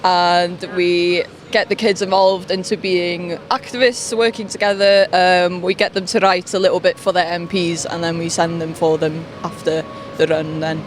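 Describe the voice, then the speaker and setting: A woman's voice: fairly low pitch, flat delivery, moderate pace. A young-sounding performer answers in a jokey, giggly way. Traffic hums faintly behind her on the street. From Wales. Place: busy street outdoors.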